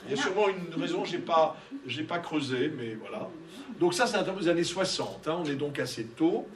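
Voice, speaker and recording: A man's voice: a little high, big pitch swings, fairly quick, a crowd in the background. A man giving an interactive art lecture, conversational and peppered with tag questions. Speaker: art lecturer leading a discussion. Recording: echoey room, distant mic, audience murmur.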